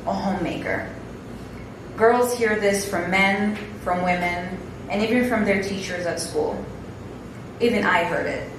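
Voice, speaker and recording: A young woman from Tanzania, giving a prepared speech in a measured, earnest manner as a guest speaker. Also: medium pitch. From northern Tanzania. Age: young adult. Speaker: guest speaker, alumna and board member. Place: indoor event room at a podium. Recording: very echoey room, background noise.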